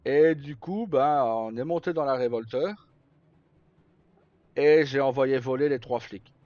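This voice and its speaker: A man live streaming, casually telling roleplay stories in a rambling, halting way with pauses mid-sentence. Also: medium pitch, darkish tone. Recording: slightly muffled, roomy, faint music. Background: background music.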